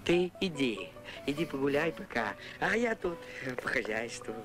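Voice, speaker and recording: A man voicing a gruff but tender wolf in a Soviet puppet cartoon, muttering to himself and softening into doting coos. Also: a little high, big pitch swings, normal speed. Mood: fussing, caring. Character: gruff but tender male wolf fussing over a foundling calf. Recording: 1980s cartoon soundtrack with music.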